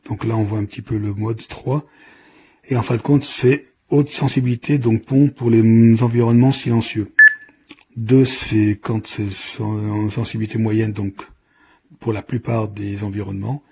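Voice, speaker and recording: A man's voice: lowish voice, steady tone, normal speed, background noise. A man giving an informal hands-on product demonstration, explaining in a hesitant, improvised way as he goes. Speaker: product reviewer.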